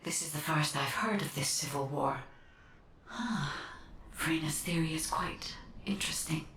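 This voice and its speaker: An actress voicing a calm, analytical otherworldly guide in a video game, speaking formally and precisely through an echoing, distant effect. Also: female voice, low voice, a little slow, slight background noise. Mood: hushed, intrigued. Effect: echoing, distant voice filter. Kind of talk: scripted video game dialogue.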